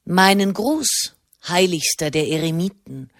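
A woman's voice: fairly low pitch, lively intonation, a little slow, creaky, no echo, clean studio sound. A woman voicing a large, aging nobleman who stays cheerful and unruffled while he teases, in a storybook reading. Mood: teasing.

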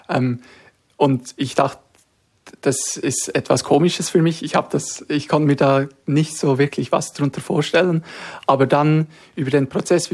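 A man's voice: fairly high pitch, darkish tone. A young man from Switzerland, a student guest answering thoughtfully in a radio interview, with some fillers. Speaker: guest, discipleship school student.

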